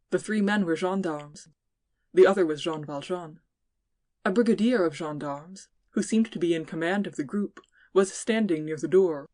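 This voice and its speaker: Woman reading classic fiction as the narrator, scripted audiobook style, voice a bit on the low side. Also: darkish tone.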